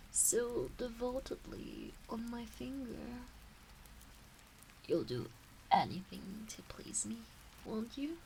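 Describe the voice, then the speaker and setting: Woman as kitsune witch mistress for ASMR roleplay: motherly, teasing, very slow whispery cooing, creaky edges. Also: lowish voice, bright, forceful tone, a little hiss or hum, heavily acted, rough recording. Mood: teasing, possessive.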